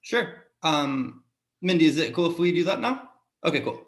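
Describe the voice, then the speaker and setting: A man answering casually in a panel discussion as a sound designer, haltingly thinking aloud as he goes. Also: a little high, lively intonation. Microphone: video call. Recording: roomy echo on a video call.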